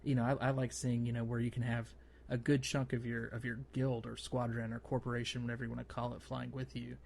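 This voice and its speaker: A man hosting a gaming podcast, chatting casually in a halting, rambling way. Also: mid-range voice, completely flat delivery, gravelly, a little mellow. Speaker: podcast host.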